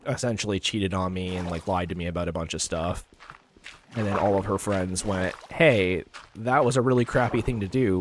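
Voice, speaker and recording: A young man streaming a game, his voice a little raspy today, rambling casually with lots of filler words. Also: lowish voice, noisy background. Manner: rambling, heavy use of "like" fillers. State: slightly raspy voice. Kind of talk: casual chat over gameplay.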